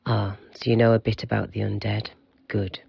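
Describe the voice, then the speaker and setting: A man playing an aloof, pompous keeper of an institute for monsters in an audio drama, speaking in a formal, measured, curt way with a gravelly, husky voice. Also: fairly low pitch, level tone, no room sound, lo-fi sound, heavily acted. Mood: formal, informative.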